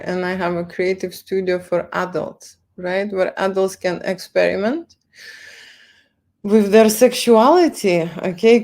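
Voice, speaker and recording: A woman's voice: lowish voice, darkish tone. A woman with a Lithuanian accent talking casually on a livestream, repeating words and tagging lines with okay and right. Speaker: photo studio owner livestreaming. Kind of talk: casual livestream talk to viewers.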